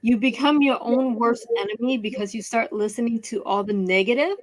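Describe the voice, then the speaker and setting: A woman's voice: on the high side, fairly quick, poor audio. A woman in her thirties from the United States, a guest in an interview, speaking candidly and reflectively about hard times she has lived through. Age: 30s. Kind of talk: personal interview.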